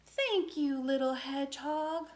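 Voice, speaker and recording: A woman voicing a squeaky mother field mouse in a children's storybook. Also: slow pace. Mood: grateful.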